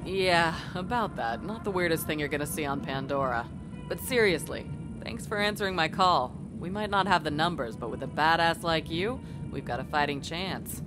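A woman's voice: low-pitched, expressive, moderate pace. A woman playing a tough, wry rebel commander in a video game, dry and confident. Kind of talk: video game character acting. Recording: game music behind.

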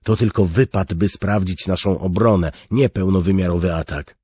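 A man's voice: deep voice, normal speed, mellow, breathy edge, no echo, voice pushed up. A man voicing a brash young noble swordsman in an audiobook, urgent and wry.

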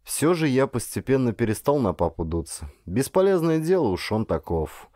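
Man reading an audiobook, lowish soft-edged voice, really lively delivery, very dry close sound. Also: normal speed, no room sound. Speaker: audiobook narrator, actor. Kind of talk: expressive first-person audiobook narration.

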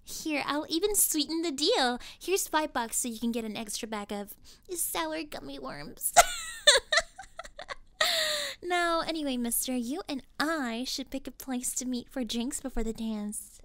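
High-pitched smug mean cheerleader girl played by a woman. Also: unhurried, no room sound. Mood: smug, mocking. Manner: condescending, giggly, saccharine pet names. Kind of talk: scripted ASMR roleplay acting.

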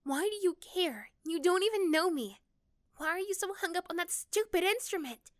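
A woman voicing a young, caring but easily flustered girl next door in an ASMR roleplay, with an emotional, halting delivery. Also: very high-pitched, animated, no echo, studio quality, pitched above the performer's own voice. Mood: defensive, upset.

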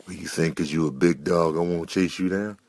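A man's voice: low voice, fairly even intonation, fairly quick, darkish tone. An American man voicing a cocky, quarrelsome wild animal in a comic dub, boastful and confrontational. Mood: challenging.

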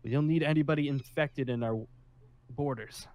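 A man playing an officious, somewhat awkward leader of a survivor haven in an improvised zombie roleplay, speaking formally with hesitant pauses. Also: mid-range voice, a little mellow, dry recording, lightly acted. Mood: officious, careful. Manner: formal and rule-minded, with hesitant pauses and sighs.

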